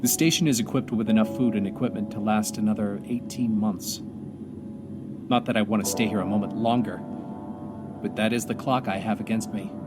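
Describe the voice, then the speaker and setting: A man playing a weary, grieving astronaut commander stranded alone, speaking an earnest, halting audio drama monologue. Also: fairly low pitch, fairly even intonation, loud music behind the voice, lightly acted. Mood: anxious, resolute.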